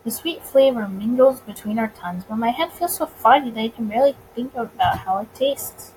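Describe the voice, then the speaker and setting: A woman with a high voice reads a visual novel aloud as a let's player. Her delivery is expressive, with dramatic pauses, over clear recording noise. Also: low-quality recording. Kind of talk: expressive story reading with reactions.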